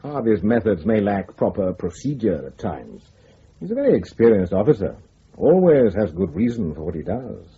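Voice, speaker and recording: Authoritative intelligence boss, radio drama, low husky voice, diplomatic, measured, lively intonation, dull recording. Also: male voice, full character voice. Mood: firm, diplomatic. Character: measured, authoritative senior spymaster, calmly diplomatic superior. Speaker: intelligence chief. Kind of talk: broadcast radio drama acting.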